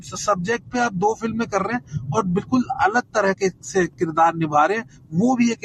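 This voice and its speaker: High, creaky middle-aged Indian man. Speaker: actor, guest panellist. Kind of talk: casual reflective chat. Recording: noisy online audio.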